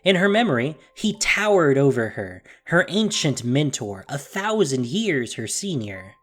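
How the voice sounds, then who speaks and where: Expressive woman narrator, lively dramatic storytelling. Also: a bass voice, measured pace. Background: music.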